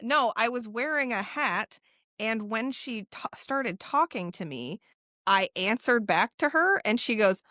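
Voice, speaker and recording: A woman's voice: on the high side, unhurried, no echo, clean studio sound. Chatty young woman telling a story. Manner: animated storytelling with laughs and acted quotes. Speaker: podcast co-host. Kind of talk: casual chat.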